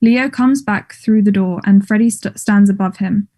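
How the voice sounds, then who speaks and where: Woman, stage directions narrator, soft dark tone, measured, neutral, scripted. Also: mid-range voice.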